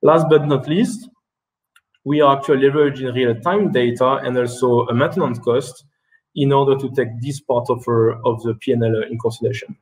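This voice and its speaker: A man in his thirties giving a business presentation over a video call and answering questions, speaking haltingly with frequent restarts and fillers. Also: medium pitch, steady tone, rough recording. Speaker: keynote speaker. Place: indoor room.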